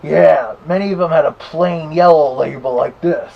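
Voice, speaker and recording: A man voicing the Big Bad Wolf as a chatty vlog host with a gravelly, husky voice, casually reviewing. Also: on the high side, normal speed, muffled recording, a little hiss or hum. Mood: chatty, easygoing.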